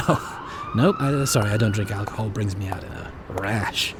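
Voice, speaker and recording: A man playing an eccentric, flippant alien time-traveller in an audio drama, explaining things in an animated manner. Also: lowish voice, normal speed, noisy background. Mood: flustered, dismissive. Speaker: lead actor.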